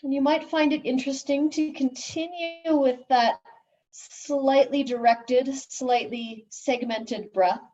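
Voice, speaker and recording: A woman yoga instructor leading slow guided breathing and meditation in soothing, unhurried short phrases with long pauses. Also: high-pitched, steady tone, mellow. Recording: dull, echoey room, distant mic.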